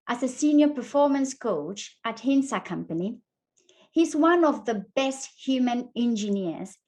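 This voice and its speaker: A woman's voice: high voice, rough recording. A woman hosting a fireside interview series, welcoming her guest with enthusiastic, effusive praise. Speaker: host. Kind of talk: interview chat.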